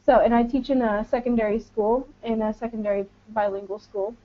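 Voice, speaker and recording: A woman's voice: on the high side, steady tone, fairly quick. A woman in her twenties with an American accent, introducing herself informally as a teaching assistant on an online webinar. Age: 20s. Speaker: English teaching auxiliary speaking as a webinar panelist. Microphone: online webinar call. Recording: dull lo-fi internet call audio.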